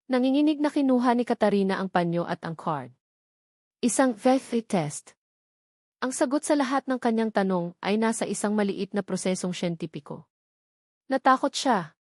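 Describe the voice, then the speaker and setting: A woman reading a scripted romantic drama story as narrator in a steady, even manner. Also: mid-range voice, moderate pace, no echo, studio quality.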